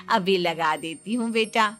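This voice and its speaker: A woman voicing an indulgent mother who coddles her son and gently chides her husband in an animated moral story. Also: mid-range voice. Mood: indulgent, chiding. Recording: music behind the voice.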